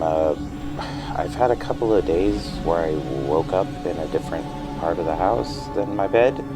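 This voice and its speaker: A man playing an anxious, apologetic patient with memory trouble, speaking in a halting, stammering, self-conscious way in an audio drama. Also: sits low, low-quality recording. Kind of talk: naturalistic fiction podcast dialogue.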